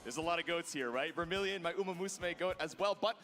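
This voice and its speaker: A man's voice: a little high, fast talker, fairly bright tone. A man commentating an esports broadcast with casual, slangy banter. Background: faint music.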